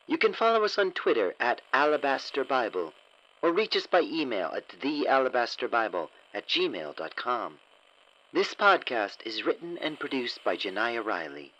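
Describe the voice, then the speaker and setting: A man hosts a podcast and reads its scripted sign-off. His mid-pitched voice is bright and forceful, with lively swings in tone. The recording is a touch lo-fi. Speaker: podcast host reading closing credits.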